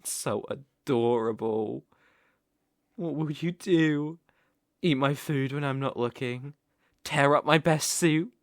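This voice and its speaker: A man's voice: mid-range voice, no room sound. A man acts out a gloating British sorcerer for an ASMR roleplay. He takes his time, sliding between syrupy cooing and smug menace as he dotes on his captive. The sound is close and dry. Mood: doting, menacing. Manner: cooing baby talk mixed with smug menace. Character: gloating, doting, cruel male sorcerer captor.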